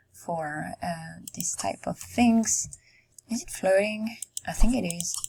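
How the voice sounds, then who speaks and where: A woman giving casual commentary while building in a life-simulation game, musing aloud with many ums and short pauses, her voice going hoarse. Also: deep voice, a little mellow. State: losing her voice. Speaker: Sims content creator.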